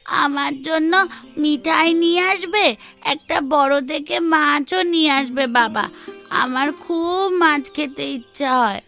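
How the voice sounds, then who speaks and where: A woman voicing village women in a children's cartoon with expressive, emphatic dramatic delivery. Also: high voice, a little slow, creaky, soft background music, heavily acted. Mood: curious, sweet. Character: village women: maid, mistress, wife, daughter. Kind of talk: Bengali children's cartoon voice acting.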